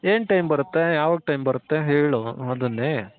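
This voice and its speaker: A man's voice: on the high side, moderate pace, clear, resonant tone. A young man with a Karnataka accent chatting informally and teasingly with his girlfriend on a private phone call. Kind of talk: casual private phone chat. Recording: tinny phone line with background noise.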